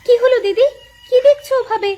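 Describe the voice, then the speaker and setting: A woman's voice: very high voice, brisk, warm tone. A woman voicing a devoted, eager younger co-wife in a village cartoon, with a pleading, girlish, deferential manner. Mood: excited. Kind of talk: cartoon voice acting.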